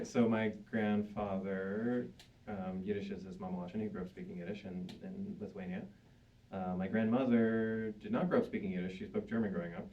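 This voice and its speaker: A man at a live panel telling a story in a chatty, upbeat way with frequent fillers. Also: deep voice. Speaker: panel guest. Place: synagogue hall, live audience. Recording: dull distant sound with faint room noise.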